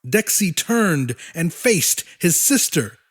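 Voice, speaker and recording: Male narrator, high-pitched voice, lively intonation, slow pace, dramatic audiobook storytelling.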